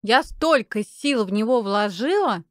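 A man voicing an exasperated, sharp-tongued middle-aged housewife and mother who scolds, in a comedic audio play. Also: high-pitched, lively intonation, measured pace, dry recording, studio quality, voice pushed up.

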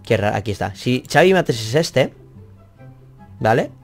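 A man's voice: medium pitch, sing-song, measured pace, creaky, faint music behind. A young man whose first language is Catalan, reading viewer comments from a small office and answering them in a rambling, self-deprecating way, swearing casually. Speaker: math youtuber.

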